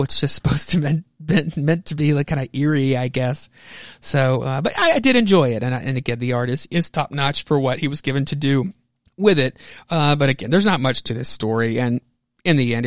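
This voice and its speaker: A man hosting a podcast with casual, rambling commentary, halting and often interrupting himself in an informal way. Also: mid-range voice, dry recording, studio quality. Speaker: podcast host.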